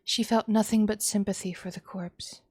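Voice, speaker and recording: A woman reading a visual novel aloud as dramatic storytelling, in a hushed tone. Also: medium pitch, steady tone, normal speed, husky, dry recording. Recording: music behind the voice. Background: background music.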